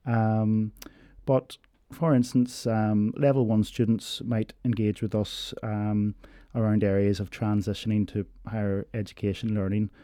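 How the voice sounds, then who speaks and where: A man explaining university learning support in a measured interview, pausing often on ums. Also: on the low side, warm tone, no room sound. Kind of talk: informational interview answers.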